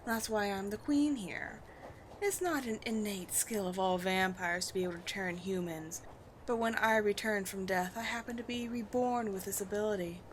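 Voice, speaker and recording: Girl doing a snooty vampire queen for an audio roleplay, bossy and theatrical, mid pitch, bit of noise behind her. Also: natural voice, low-quality recording. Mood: cool, curious. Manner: imperious, theatrical, deliberate phrasing. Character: haughty, commanding female vampire queen, disdainful of humans.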